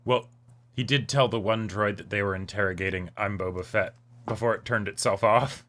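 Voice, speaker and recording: A man's voice: fairly low pitch, fairly even intonation, normal speed, fairly bright tone. A man co-hosting a reaction show, giving casual live commentary on what he is watching.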